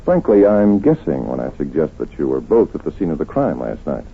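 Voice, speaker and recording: An actor playing a shrewd, courteous investigator in an old radio mystery, probing with measured confidence. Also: male voice, rumbling low, very animated, heavily acted. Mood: assured. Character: shrewd, polite, self-assured male investigator doctor. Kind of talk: 1940s radio drama acting. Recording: tinny, husky-sounding old radio recording.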